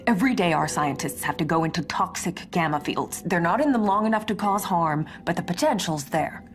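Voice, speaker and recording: A woman playing a brisk, defensive military major under pressure in a radio drama, clipped and impatient. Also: lowish voice, animated, soft background music, lightly acted.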